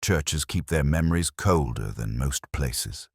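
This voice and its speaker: A man narrating a scripted first-person detective story in a measured, brooding, literary manner. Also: rumbling low, animated, slightly rough, no room sound, studio quality.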